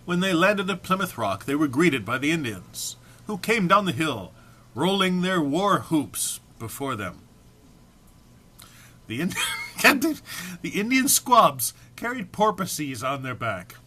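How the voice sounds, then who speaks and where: A man's voice: on the high side, very animated, slow, pushed and bright. A man giving an amateur, theatrical dramatic reading of a humorous text, prone to amusement and stumbles. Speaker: amateur reader.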